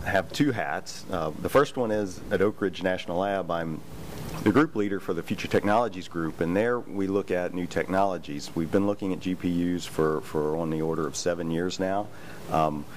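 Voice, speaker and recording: A man introducing himself on a conference panel as a researcher and professor, explaining in a steady way with some fillers. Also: low-pitched, a little hiss or hum. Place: conference hall with audience.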